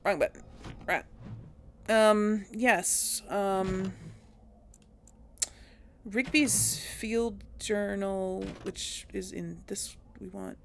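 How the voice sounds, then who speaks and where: A woman's voice: medium pitch, fairly even intonation, very slow, pauses often, a little hiss or hum. A young woman chatting casually through a video game, thinking aloud and correcting herself as she goes. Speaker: let's play host. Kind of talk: casual gameplay commentary.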